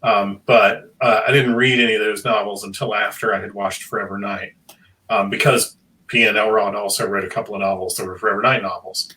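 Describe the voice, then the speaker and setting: A man's voice: fairly low pitch, level tone, brisk, sharp tone, recorded from a distance, low-quality recording. A man speaking as a panelist in a casual, enthusiastic discussion, explaining things in a thoughtful, rambling way.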